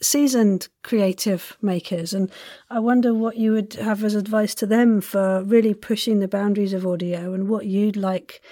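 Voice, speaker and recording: A woman's voice: mid-range voice, steady tone, moderate pace, warm tone, dry recording, studio quality. A middle-aged woman hosting a podcast interview, chatting casually with an effusive, gushing manner.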